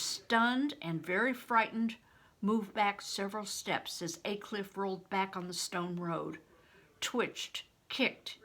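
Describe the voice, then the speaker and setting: Older woman, storybook narration, unhurried pace, gravelly creak, dramatic delivery. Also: medium pitch, fairly bright tone. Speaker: storyteller reading aloud. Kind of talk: expressive audiobook narration of a children's novel.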